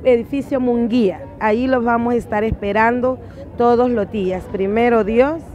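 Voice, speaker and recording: A woman's voice: fairly high pitch, fairly even intonation, normal speed, lo-fi sound, faint music behind. A woman in her forties cheerfully inviting people to her food stall in a street interview, outdoors on a busy city square. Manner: cheerful, inviting, laughs often. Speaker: food vendor. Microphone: phone camera close. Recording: loud crowd behind. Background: crowd chatter.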